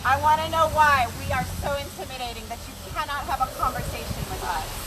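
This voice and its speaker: Woman from north carolina on a bullhorn, high voice, insistent, protest speech outside w some noise. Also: a little fast. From North Carolina, United States. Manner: insistent, accusatory. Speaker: protest speaker. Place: outdoors at a protest. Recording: noisy outdoor bullhorn recording.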